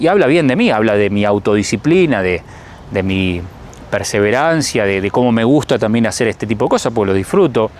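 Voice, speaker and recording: A man's voice: medium pitch, a little hiss or hum. Reflective Argentine man, Rosario accent. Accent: Argentine Spanish, Rosario. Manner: reflective, thinks aloud, addresses viewers directly. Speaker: travel vlogger. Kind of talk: casual talk to camera.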